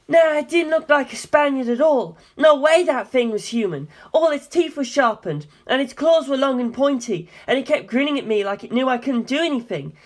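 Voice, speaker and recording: Reader playing an excitable, loud young male pilot, high-pitched. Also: moderate pace, hardly any pauses. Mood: rattled, excited. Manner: loud, agitated, blurting. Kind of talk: acted dialogue in an audiobook.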